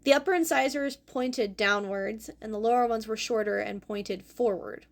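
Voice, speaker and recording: A young adult woman hosting a fossil lesson as a paleontology teacher, explaining clearly and going carefully over hard names. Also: on the high side, normal speed. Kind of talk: educational fossil presentation.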